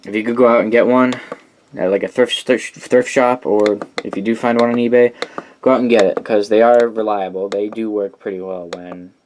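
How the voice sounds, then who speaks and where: Male hobbyist, lowish voice, casual and rambling show-and-tell, enthusiastic, noisy amateur recording. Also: steady tone, moderate pace, a little mellow. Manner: rambling, informal, enthusiastic about the items. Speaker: hobbyist collector presenting a radio collection.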